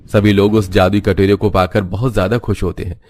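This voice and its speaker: Low-pitched man narrating a story, dry sound. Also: flat delivery, brisk, a little mellow, no room sound. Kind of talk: scripted cartoon story narration.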